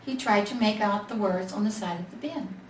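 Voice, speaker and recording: A woman storyteller reads a picture book aloud to children in a medium-pitched voice. The recording is echoey and a little distant, with faint noise underneath. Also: brisk. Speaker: storyteller in character as Mrs. Claus. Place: library event room.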